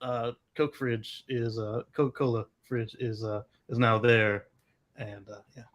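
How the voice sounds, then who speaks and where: A man's voice: medium pitch, level tone, measured pace, a bit bright. A man co-hosting a casual podcast, joking around with plenty of filler words. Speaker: podcast co-host.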